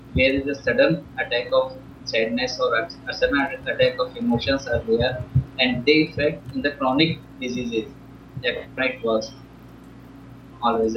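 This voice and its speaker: An Indian-accented man speaks in earnest, measured explanations, like a homeopath co-hosting an interview. His voice sits at an ordinary male pitch, captured over a video call. The sound is muffled and echoey, as if from a bare room. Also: a little hiss or hum. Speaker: homeopath co-host.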